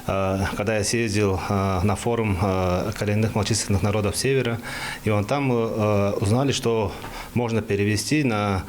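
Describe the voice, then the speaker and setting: Halting young man, lowish voice. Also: steady tone, a little hiss or hum. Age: young adult. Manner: halting, searching for words. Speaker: researcher and project organiser. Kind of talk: unscripted interview answer.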